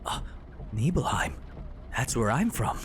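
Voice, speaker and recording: An actor playing an ambitious, restless young soldier in a video game, eager and a little cocky. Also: male voice, medium pitch, big pitch swings, a little slow, slight background noise. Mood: eager, keen. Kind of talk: video game character dialogue.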